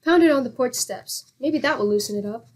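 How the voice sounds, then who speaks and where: An actress playing a patient, good-humored wife with a teasing, exclamatory manner in a 1940s-style radio comedy. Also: female voice, a little high, fairly quick, background noise, close to an everyday voice.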